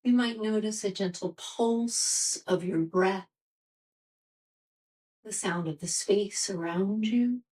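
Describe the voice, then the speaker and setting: A woman teaching restorative yoga, guiding a meditation in a soothing, gentle, unhurried manner. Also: mid-range voice, far from the mic.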